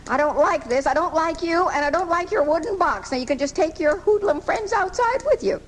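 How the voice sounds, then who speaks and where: An actress playing a feisty, fretful frail old American spinster who scolds in folksy, indignant style in a live radio drama. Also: female voice, very high-pitched, animated, a little fast. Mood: indignant, scolding. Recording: noisy amateur off-air radio recording from 1984.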